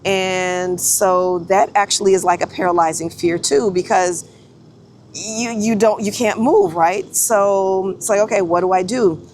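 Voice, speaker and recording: A woman's voice: medium pitch, a little slow, a little mellow, slight background noise. A woman in her forties, a photographer vlogging in a casual, chatty way, often correcting herself and restarting sentences. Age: 40s. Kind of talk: casual talking-head vlog.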